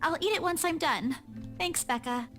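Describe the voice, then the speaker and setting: A woman's voice: high-pitched, moderate pace, over music, lightly acted. An actress playing a flustered, earnest young woman in a visual novel game, stammering and apologetic. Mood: evasive, apologetic.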